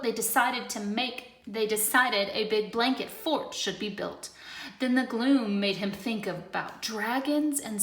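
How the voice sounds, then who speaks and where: A woman teacher reading a rhyming picture book aloud, expressive, moving between loud lines and hushed ones. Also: on the high side. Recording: roomy echo.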